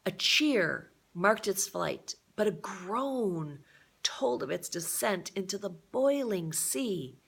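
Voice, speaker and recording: A woman reading a novel aloud as a storyteller, with a deliberate, dramatic and expressive delivery. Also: mid-range voice, creaky, slightly rough.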